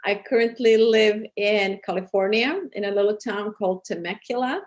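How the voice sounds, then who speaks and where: Female interview guest, Serbian accent, medium pitch, sincere, searches for phrasing as she explains. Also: moderate pace. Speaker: interview guest, program producer and charity founder. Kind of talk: interview answers.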